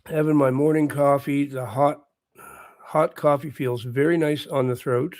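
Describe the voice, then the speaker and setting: A man with a hoarse voice from a recent bout of laryngitis, hosting a casual livestream and chatting quietly and encouragingly with his viewers. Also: fairly high pitch, fairly even intonation, a little slow, no room sound, studio quality. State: hoarse, recovering lost voice. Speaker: livestream host. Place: at home with morning coffee.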